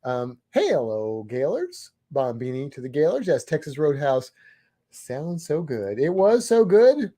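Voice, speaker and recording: Man, slightly hoarse, lively bouncing pitch, easygoing, chuckles, casual livestream chat. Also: a little slow. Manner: easygoing, chuckles often, hums to himself. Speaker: livestream co-host. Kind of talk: casual livestream chat, reading out viewer comments.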